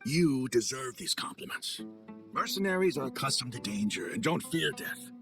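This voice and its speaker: An actor playing a dignified, heartfelt desert mercenary leader in a video game, speaking in a solemn, measured way. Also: male voice, medium pitch, expressive, vocal fry. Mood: solemn, admiring. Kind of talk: video game cutscene voice acting. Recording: faint music behind voice.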